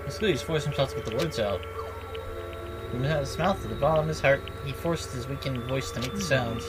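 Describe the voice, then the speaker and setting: A man's voice: mid-range voice, a little fast. A man streaming a video game, reading its narration aloud and commenting casually. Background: music.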